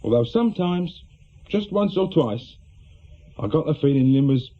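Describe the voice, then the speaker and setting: An actor playing a jealous, aggrieved small-time crook with an English accent, talking bluntly and colloquially in a radio drama. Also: male voice, on the high side, animated, normal speed, muffled recording. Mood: bitter, wounded. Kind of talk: naturalistic British radio drama dialogue.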